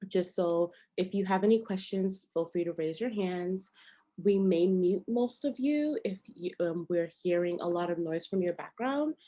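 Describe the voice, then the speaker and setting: Woman running a zoom meeting, slow and easygoing, lots of ums, warm soft tone. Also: on the low side. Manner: relaxed, with many "um"s. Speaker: host, board member and nanny agency owner. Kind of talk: casual video-call meeting hosting. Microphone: video call.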